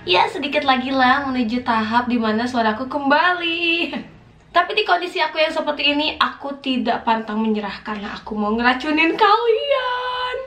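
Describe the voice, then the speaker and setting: A young woman with a hoarse voice, chatting casually as a beauty vlogger reviewing products, gushing and full of fillers. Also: sits high, animated, normal speed, fairly bright tone, echoey room. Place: small indoor room, plain wall.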